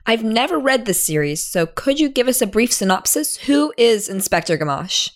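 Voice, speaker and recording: A woman co-hosting a podcast, hoarse and scratchy from losing her voice, who asks friendly guiding questions. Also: on the high side, sing-song, moderate pace. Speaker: podcast co-host and editor.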